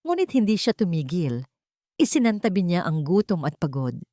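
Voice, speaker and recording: Female narrator for scripted romance drama: lowish voice, very varied intonation, dry close sound. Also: big pitch swings, normal speed, mellow, dry recording. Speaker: story narrator.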